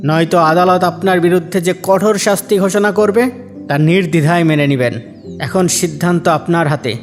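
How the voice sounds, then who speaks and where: A man playing a stern, fair Islamic court judge in an animated moral drama, with formal, declamatory delivery. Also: sits high, a little fast, natural voice. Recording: faint music behind the voice.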